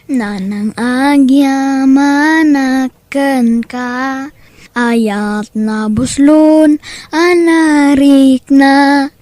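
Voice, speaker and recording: A woman's voice: high voice, fairly even intonation, drawn-out words, darkish tone, no room sound, faint music behind. A woman with an Ilocano accent playing a dutiful, poor young boy in an audio drama, speaking in an earnest, childlike way. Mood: tender singing. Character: dutiful, poor young boy who sings and gathers trash.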